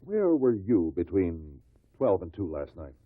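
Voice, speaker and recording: An actor playing a shrewd investigator who puts formal, insistent questions to witnesses at an inquest in a 1950s radio drama. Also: male voice, sits low, big pitch swings, unhurried, dry recording, heavily acted. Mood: probing, firm. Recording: muffled old radio recording.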